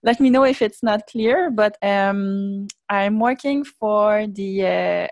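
French-speaking woman, halting Quebec accent. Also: medium pitch. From Montreal, Canada. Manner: halting, searching for English words, many "uh"s. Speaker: webinar participant. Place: indoor room at home. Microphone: earbuds on video call.

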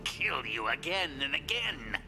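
An actor voicing a sadistic, darkly playful masked killer in a video game, gravelly and breathy, mocking as he savors his threats. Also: on the high side, very animated, measured pace, poor audio. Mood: gleefully taunting. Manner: mocking, menacing, savoring words. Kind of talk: video game villain dialogue.

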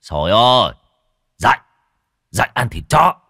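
Man doing a quick-tempered old shaman for a spoken tale: loud, raised voice, dry close mic. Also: medium pitch, sing-song, no room sound, full character voice. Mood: bossy. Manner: barking orders, loud and gruff. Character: bossy older male village shaman, quick-tempered.

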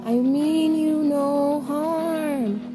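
A woman's voice: high-pitched, steady tone, extremely slow, music in the background. A young woman in her twenties, vlogging casually to the camera held at arm's length, chatty and swearing casually. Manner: chatty, swears casually, coos softly at animals. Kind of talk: casual vlog chat. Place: indoors in a bedroom, later in a car. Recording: loud background noise.

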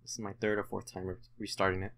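Low, creaky young man commentating on games. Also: completely flat delivery, racing, a bit bright. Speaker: gamer hosting a let's play. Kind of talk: casual gameplay commentary. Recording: game audio behind, faint noise.

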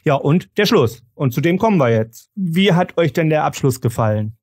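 A man's voice: fairly high pitch, expressive, normal speed, a little mellow, no echo, studio quality. A man moderating a casual panel discussion on a review podcast.

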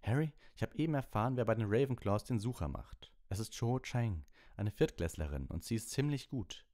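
A man's voice: lowish voice, expressive, a little fast, darkish tone, pitched above the performer's own voice, lightly acted. A man voicing an earnest, driven teenage boy who captains a team, in an audiobook. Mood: intent. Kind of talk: audiobook character voice.

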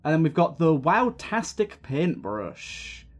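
A man's voice: on the high side, very animated, takes it slowly. A man hosting a gaming video with casual, sweary, grumbling commentary.

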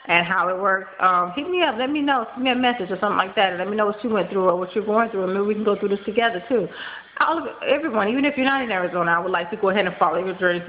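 A woman in her thirties with a nasal voice, congested from a cold and tired, talking fast in a rambling casual vlog full of ums and likes, recorded close on a webcam in a small room at home. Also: medium pitch. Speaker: vlogger. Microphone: built-in webcam mic, close. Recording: dull lo-fi webcam audio with faint hiss.

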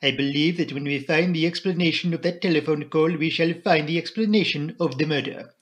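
A man voicing an excitable, grave and insistent foreign detective, emphatic and halting over English idioms in an audiobook story. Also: a little high, pitched above the performer's own voice, close to an everyday voice. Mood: grave, earnest.

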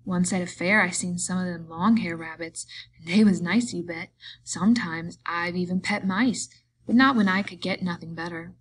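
A woman's voice: on the low side, normal speed, slightly rough, poor audio. A woman voicing a big, simple-minded, childlike man in audiobook dialogue, earnest and pleading, with a rustic ungrammatical drawl.

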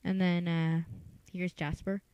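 A woman's voice: lowish voice, level tone, unhurried, mellow, smooth, no room sound. A woman talking casually and hesitantly, keeping her voice down, as she introduces an amateur voice audition. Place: bedroom.

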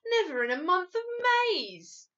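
A woman's voice: high voice, very animated, unhurried, a bit bright, voice pushed up, full character voice. A woman voicing a kindly, sad and stubborn giant from a storybook, with rustic, muddled grammar and emphatic delivery. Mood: doubtful, explaining. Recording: dull lo-fi recording.

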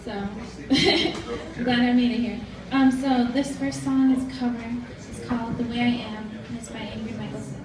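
A woman's voice: on the high side, steady tone, normal speed, over a noisy crowd. A young adult woman, a performer at an open mic, casually introducing a song from a microphone in a small venue. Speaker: singer-guitarist at an open mic. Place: small indoor store venue with wooden floor. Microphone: stand mic, heard through distant phone camera. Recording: echoey small venue, far from camera.

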